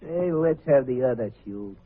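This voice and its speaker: A man's voice: medium pitch, expressive, normal speed, slight background noise, close to an everyday voice. An actor playing a squat, gloating gunman who poses as a shoe shiner, taunting in slangy tough talk in an old radio crime drama. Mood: mocking. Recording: muffled phone-like vintage radio recording.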